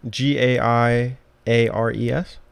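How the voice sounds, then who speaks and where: A man's voice: medium pitch, flat delivery, takes it slowly, creaky, smooth. A man hosting a podcast, chatting about video games in a laid-back, informal way. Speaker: podcast host.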